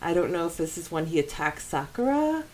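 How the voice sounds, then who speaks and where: A woman's voice: lowish voice, very animated, brisk, a little mellow, a little hiss or hum. A woman co-hosting a casual fan podcast, chatty and often laughing as she talks. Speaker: podcast co-host.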